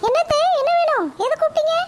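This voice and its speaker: A woman voicing a quarrelsome young mother, shrill and snapping. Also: quick pace, very noisy. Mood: irritated.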